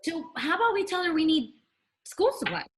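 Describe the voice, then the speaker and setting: A woman's voice: very high voice, sing-song, fairly quick, a little hiss or hum. An American woman playing a bold, bossy thirteen-year-old schoolgirl in a script table read on a video call. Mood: scheming. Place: room at home, on a group video call.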